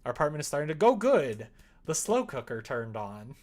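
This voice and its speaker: A man's voice: fairly high pitch, sing-song, a little fast, darkish tone. Rambling, hesitant man chatting on livestream. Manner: rambling, thinking aloud, hesitant. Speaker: livestreamer making a visual novel. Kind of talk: casual livestream chat while working. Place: indoors at home.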